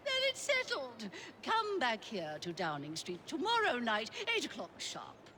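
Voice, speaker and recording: An imperious older Victorian lady, refined and politely domineering, with upper-class English diction. Her voice is fairly high and swoops dramatically. Faint noise sits behind this game cutscene performance. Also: wildly swooping pitch, unhurried, bright, forceful tone, full character voice. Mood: brisk, determined. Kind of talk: video game cutscene acting.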